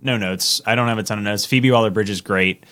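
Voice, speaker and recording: A man's voice: on the low side, fast talker, fairly bright tone. A man talking casually and giving his opinions as co-host of a movie podcast.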